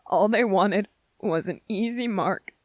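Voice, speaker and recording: A woman playing an anxious, self-doubting, gentle young male soldier in a scripted ASMR roleplay monologue, speaking softly and haltingly with a husky voice. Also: medium pitch, dry recording. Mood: bitter, wounded. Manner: hesitant, halting, with repeated phrases and pauses.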